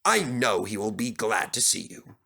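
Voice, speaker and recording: A man voicing an authoritative, fair-minded railway controller in a storybook, formal and measured. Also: on the low side, sing-song, vocal fry, sharp tone, exaggerated voice. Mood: firm, regretful.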